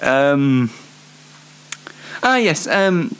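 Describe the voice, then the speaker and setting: A man's voice: on the high side, very animated, very slow, fairly bright tone, clear, resonant tone. A man hosting a solo show in a casual comic monologue, rambling hesitantly and poking fun at himself. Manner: hesitant, rambling, self-deprecating. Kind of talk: casual comedic monologue to audience.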